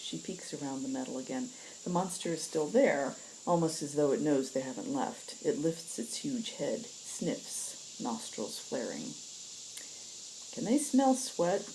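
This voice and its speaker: A woman hosting a story reading, moving between casual talk and expressive narration, with insects behind her. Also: rumbling low, measured pace, a little hiss or hum, low-quality recording. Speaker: host reading stories aloud.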